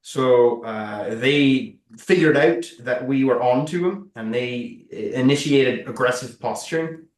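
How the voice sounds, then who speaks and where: Tabletop game role male player: jittery mercenary, slow, stammering, over-explaining himself, roomy sound. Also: mid-range voice, lightly acted. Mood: defensive, earnest. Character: nervous, rambling mercenary explaining himself to authorities. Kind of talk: improvised tabletop roleplay. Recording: echoey room, far from mic.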